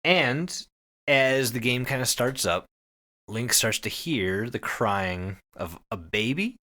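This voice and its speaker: A man hosting a podcast, giving a casual, profanity-laced story recap to his co-hosts in an animated way. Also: medium pitch, slow pace. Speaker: podcast host.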